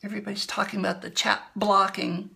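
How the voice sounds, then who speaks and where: A woman calmly explaining a hands-on demonstration at a table for a livestream, a little hoarse. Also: medium pitch, slight background noise. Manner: calm, explanatory, unhurried. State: slightly hoarse. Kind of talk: instructional demo talk. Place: indoor room at a table.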